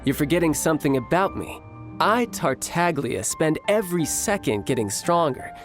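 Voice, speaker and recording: A man's voice: on the high side, lively intonation, moderate pace, natural voice. An actor playing a cocky, playful young male warrior who dotes on his little brother, in a video game. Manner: playful, teasing, courteous. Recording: game audio with faint music.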